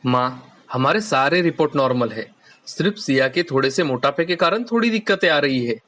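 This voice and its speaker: Voice actor as a lively, gentle young husband. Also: medium pitch, moderate pace, natural voice. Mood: earnest. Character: caring young husband, dutiful son, gentle and agreeable. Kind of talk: Hindi animated moral story dubbing.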